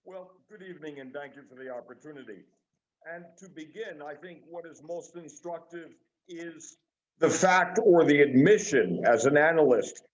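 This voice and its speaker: Measured male analyst on dull webinar audio. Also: on the high side. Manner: measured, formal, deliberate phrasing. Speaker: panel speaker, political analyst. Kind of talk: prepared analytical remarks. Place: online video webinar.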